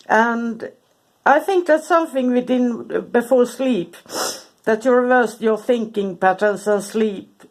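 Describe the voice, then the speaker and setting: A woman with a cold, sniffling, giving a casual, halting talk full of ums and restarts. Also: mid-range voice. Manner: hesitant, many ums and restarts. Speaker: spiritual content creator. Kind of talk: casual informal talk to camera.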